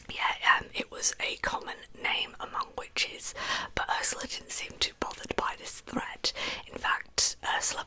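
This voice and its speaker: Woman, breathy whisper, ASMR narration, soft-spoken, sharp sibilant brightness, unhurried storytelling. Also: sits high, rough recording. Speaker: ASMR channel host telling true crime stories.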